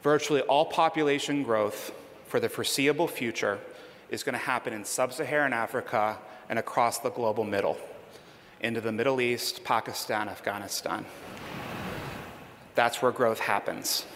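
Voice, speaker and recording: A man's voice: mid-range voice, normal speed, a bit bright. A man giving a conference presentation in an emphatic, persuasive way, then taking audience questions. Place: conference hall. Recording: reverberant hall, faint background noise.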